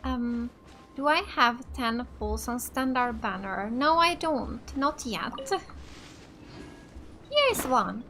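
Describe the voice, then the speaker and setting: A woman from Finland with as her hosts a casual let's play. Her voice is high and she takes her time as she comments, with faint music behind her. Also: slow pace, bright, forceful tone. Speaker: gamer, let's play host.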